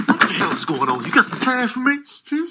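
A man voicing cocky, indignant animals in a comedic voice-over, with husky, streetwise, exasperated talk. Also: extremely high pitch, big pitch swings, fast talker, loud music behind the voice. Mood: taunting. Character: cocky, indignant trash-talking male animals.

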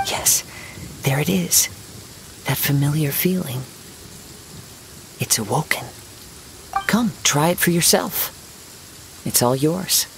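A man voicing a gentle, serene young wandering poet swordsman in a game, with measured, formal, poetic phrasing. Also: fairly high pitch, gravelly, heavily acted. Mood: composed, assured. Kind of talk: scripted game dialogue, storytelling. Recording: steady rain noise behind voice.

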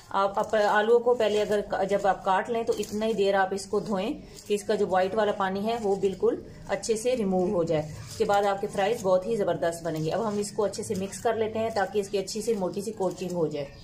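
A woman's voice: mid-range voice, a little mellow, a little hiss or hum. Patient homely woman teaching a recipe. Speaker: cooking channel host. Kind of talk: step-by-step cooking tutorial. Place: home kitchen.

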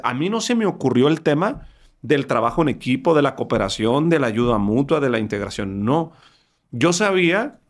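A man in his thirties with a Mexican accent, hosting a podcast interview in a studio, talking with lively energy and frequent restarts. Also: fairly high pitch. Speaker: podcast host. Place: studio with wood-paneled wall. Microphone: studio mic on boom arm.

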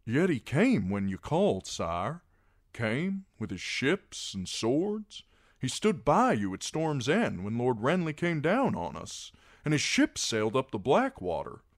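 A man's voice: mid-range voice, wildly swooping pitch, a little slow, no echo, clean studio sound, pitched above the performer's own voice, full character voice. A man voicing an earnest, blunt knight in an audiobook, arguing in an insistent, impassioned way. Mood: impassioned, pleading. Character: earnest, blunt, plain-spoken male knight pleading for mercy.

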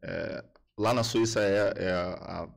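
A man in his thirties hosting a podcast in casual, slangy talk, halting and restarting his sentences, on a boom-arm studio mic. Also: steady tone, creaky. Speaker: podcast host. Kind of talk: casual chat.

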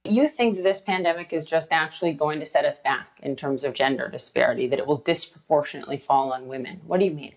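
Low-voiced woman interviewer. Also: fast, hardly any pauses. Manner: attentive, probing, short affirmations. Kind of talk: television interview questions.

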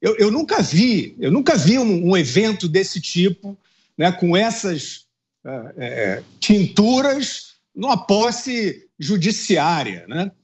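A man's voice: high-pitched, big pitch swings, slow pace, darkish tone. A middle-aged man giving indignant political commentary on a news show over a video call, talking with earphones from a room at home, with frequent hesitations and tag questions. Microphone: earphones with mic on a video call.

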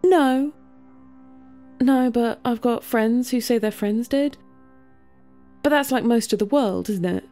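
A woman's voice: on the high side, slow, long pauses, warm tone. Woman as awkward girl, casual, hesitant, story reading, faint music. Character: awkward, chatty girl bringing flowers.

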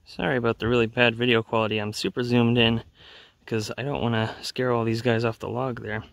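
A man giving casual, low-key narration as a wildlife vlogger filming outdoors from behind the camera. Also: flat delivery, brisk, creaky, fairly bright tone, no room sound. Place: outdoors in woods and grassland. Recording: outdoor ambient noise.

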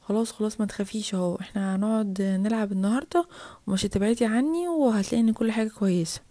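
A woman voicing a calm, coaxing mother in Egyptian Arabic, reassuring a small girl in a children's story. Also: medium pitch, very fast, voice pushed down, natural voice. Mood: soothing, coaxing.

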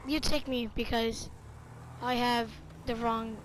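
A woman's voice: on the high side, level tone, slow. A woman chatting casually and slangily while playing a roleplay video game. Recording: clearly noisy game audio, vehicle sounds.

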